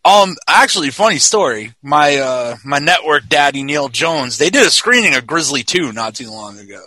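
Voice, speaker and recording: A man's voice: fairly high pitch, lively intonation, normal speed, bright, forceful tone, no room sound. A man of forty chatting casually about films as a podcast host, emphatic and given to swearing. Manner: emphatic, profane. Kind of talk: casual film discussion.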